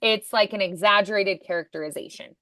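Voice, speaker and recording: A woman coaching in a conversational one-to-one lesson, encouraging and pausing to demonstrate sounds. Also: mid-range voice, sharp tone, dry recording, clean studio sound. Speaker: voice acting coach.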